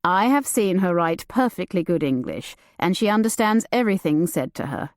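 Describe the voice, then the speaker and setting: A reserved young gentleman who answers in a curt, dry way, as a woman reader puts him on. He stays guarded and plain. The sound is close and dry. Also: lowish voice, expressive, normal speed, no room sound.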